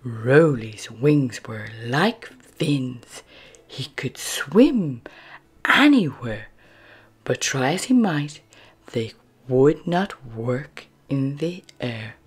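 A woman reading a children's storybook aloud as narrator, expressive, moving between hushed phrases and emphatic words. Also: rumbling low, very slow, husky, faint music behind. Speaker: storybook narrator and channel host.

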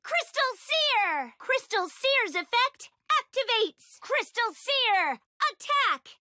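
Bubbly young female anime duelist, perky and theatrical, very high and bright, for a card game. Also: sing-song, slow, dry recording, clean studio sound, full character voice. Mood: energetic, battle-ready.

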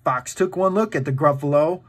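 A man hosting storytime, reading a children's book aloud in an animated style. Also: fairly high pitch, moderate pace, husky. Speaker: storytime host and reader.

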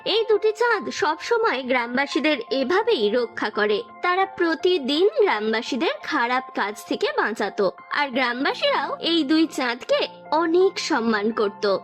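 A woman narrator tells an animated story in a high voice. Her scripted delivery is slow, and music plays clearly behind her. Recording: background music throughout.

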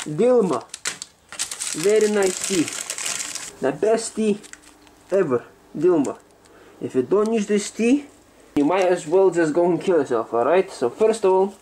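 A man's voice: high-pitched, animated, unhurried, pauses often, warm tone. Cheeky Slavic guy a man puts on, noisy recording. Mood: mock-serious. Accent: put-on Russian. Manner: rambling, broken English, repeats phrases. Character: cheeky, foul-mouthed young Slavic man, comedic persona. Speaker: vlogger. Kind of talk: casual comedic vlog. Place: small home kitchen indoors. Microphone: handheld camera at arm's length. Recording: noisy handheld camera with clicks.

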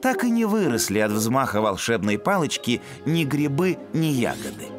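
Dramatic cartoon ant magician, grand delivery, pitch swoops everywhere, music behind. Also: male voice, wildly swooping pitch, normal speed. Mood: solemn, instructive. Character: theatrical male ant magician and illusionist. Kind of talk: children's cartoon acting.

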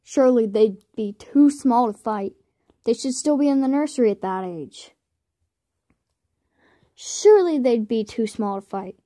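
Young-sounding woman as high-voiced cartoon warrior cat Graystripe. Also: expressive, a little slow, pauses often, warm tone. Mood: earnest. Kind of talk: amateur voice audition line reading.

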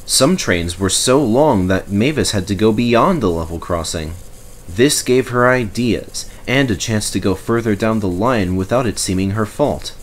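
Animated male storybook narrator. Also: on the low side, a little slow. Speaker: storybook reader. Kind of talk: expressive scripted storybook narration.

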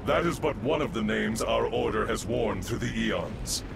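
A man's voice: fairly low pitch, moderate pace, creaky, noisy background. A man playing an ancient priest spirit in a video game cutscene, solemn and grave, speaking in formal oratory.

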